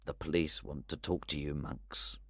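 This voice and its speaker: A man reading an audiobook who voices a calm, stern older gentleman, firm and measured. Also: rumbling low, vocal fry, slightly rough, no room sound, clean studio sound, pitched below the performer's own voice, full character voice.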